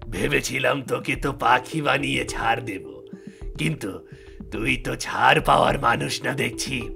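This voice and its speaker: A man's voice: medium pitch, creaky, bright, forceful tone, soft background music, exaggerated voice. A man voicing an evil, gloating sorcerer in a fairy-tale cartoon, mocking and menacing. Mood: threatening. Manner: mocking, drawn-out menacing delivery. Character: evil gloating male sorcerer, cruel tantrik.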